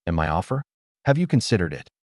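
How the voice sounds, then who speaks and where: A reserved young woman answers quietly and politely, keeping her guard up, as a male narrator reads her in his own low voice. The recording is close and dry. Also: big pitch swings, fast talker, dry recording.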